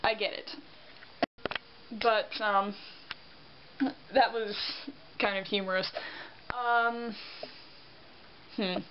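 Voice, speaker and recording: A woman's voice: medium pitch, steady tone, extremely slow, pauses often. A young woman in casual vlog chat, rambling and self-deprecating, tired and congested with a sore throat. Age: young adult. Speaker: vlogger. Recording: dull lo-fi sound with faint hiss.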